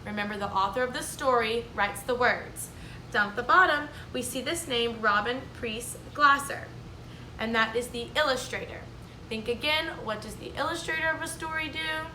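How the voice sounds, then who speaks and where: A woman's voice: fairly high pitch, normal speed. Woman, kindergarten teacher, storybook read-aloud, sing-song, mic at a distance, faint noise. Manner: clear, explaining, sing-song. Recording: echoey room, mic at a distance.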